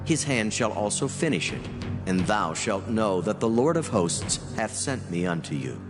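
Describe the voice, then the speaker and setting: A man playing a solemn, authoritative angel who proclaims God's commands in a grave, measured, declamatory way in dramatized scripture. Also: fairly low pitch, sing-song. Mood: solemn, proclaiming. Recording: long hall reverb, music underneath. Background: background music.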